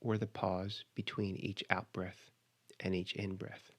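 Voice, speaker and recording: Man, low-pitched voice, meditation guide, measured instructional delivery, pauses between phrases. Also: flat delivery.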